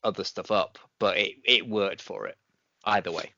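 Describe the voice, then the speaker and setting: A man's voice: lowish voice, moderate pace, pushed and bright, no echo, lo-fi sound. A man co-hosting a podcast in a casual, conversational way, circling and rephrasing his points. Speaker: podcast co-host. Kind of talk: casual review chat.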